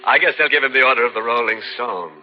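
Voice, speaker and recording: An actor playing a ghoulishly playful horror show host, delivering theatrical, mock-sympathetic 1940s radio patter. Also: male voice, medium pitch, fast, lightly acted. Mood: gleefully macabre. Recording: dull old radio recording with music.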